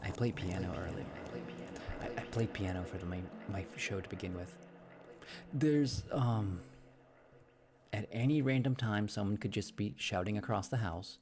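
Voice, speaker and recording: A man's voice: fairly low pitch, moderate pace, pauses often, background noise. A man livestreaming casually from a room in a shared house, rambling with frequent restarts and fillers. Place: room in a shared house with thin, echoey walls. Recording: clear music playing underneath.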